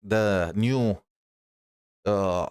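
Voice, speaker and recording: A man with a lowish voice streams games in a casual, rambling style. He hesitates often and sounds close and dry on the mic. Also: extremely slow, a little mellow, clean tone, no echo. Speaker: game streamer. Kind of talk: casual live gameplay commentary.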